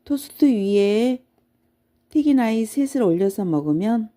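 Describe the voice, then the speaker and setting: Woman plays ancient toothless giant for children's storybook; gruff, blustery, soft-edged tone. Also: on the high side, lively intonation, measured pace, voice pushed up. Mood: grumbling, wistful. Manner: blustery, exclaiming, grumbling.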